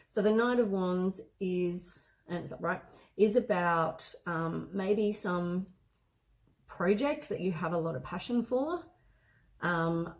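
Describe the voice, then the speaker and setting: Hoarse woman with a head cold. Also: on the low side, a little mellow. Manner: meandering, often corrects herself mid-sentence. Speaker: tarot card reader. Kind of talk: casual spoken tarot reading to camera.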